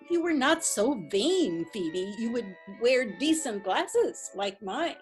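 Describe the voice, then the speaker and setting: A woman playing a modest, elderly widow, mild and gently reproachful, in a readers theatre play. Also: on the high side, sing-song, slow, faint music behind.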